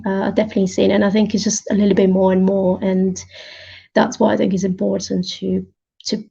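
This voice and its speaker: Woman guest chatting in an interview, mellow husky voice, kinda muffled audio. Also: medium pitch. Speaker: interview guest, open-source intelligence researcher. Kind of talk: conversational interview answers.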